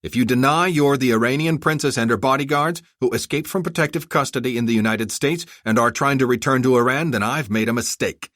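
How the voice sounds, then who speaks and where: A man voicing a gruff, curt and menacing soldier in an armored suit, in an audiobook. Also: medium pitch, lively intonation, a little fast, fairly bright tone, no room sound, studio quality, pitched above the performer's own voice, close to an everyday voice. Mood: angry, menacing. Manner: curt, commanding.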